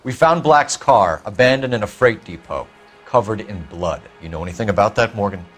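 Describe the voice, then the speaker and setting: A man's voice: fairly low pitch, lively intonation, brisk, a bit bright. A terse police detective from a dramatic video game. His mid-range voice rises and falls sharply as he presses suspects with blunt questions. Faint music sits behind him. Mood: confrontational.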